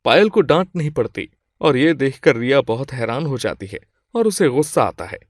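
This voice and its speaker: A man's voice: medium pitch, sing-song, normal speed, dry recording, studio quality. A man reading scripted moral-story narration as the storyteller.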